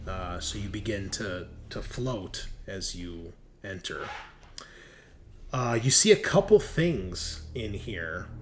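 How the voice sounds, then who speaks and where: Low, measured man narrating a horror game. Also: animated, a little hiss or hum. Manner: descriptive, suspenseful storytelling. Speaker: game master.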